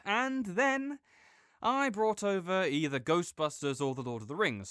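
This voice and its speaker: A man's voice: on the high side, bright, forceful tone, no echo, clean studio sound. A young man in an audio roleplay, talking as a supportive friend in a playful, reassuring way.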